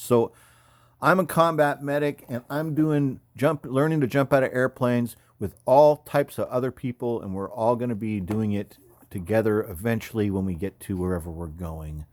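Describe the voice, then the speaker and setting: American guy in his 50s, kinda low voice, rambly slangy chat, real dry sound. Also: no echo. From California, United States. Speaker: army veteran, former paratrooper and combat medic. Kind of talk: casual off-the-cuff commentary over photos.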